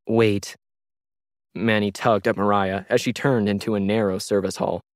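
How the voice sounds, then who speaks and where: A man's voice: lowish voice, fairly even intonation, brisk, no echo, clean studio sound. A man reading a scripted science fiction story as its narrator.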